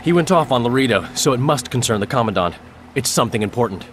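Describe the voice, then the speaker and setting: An actor playing an excitable soldier bringing urgent news in a fantasy video game. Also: male voice, mid-range voice, quick pace, music in the background, natural voice. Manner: animated, urgent.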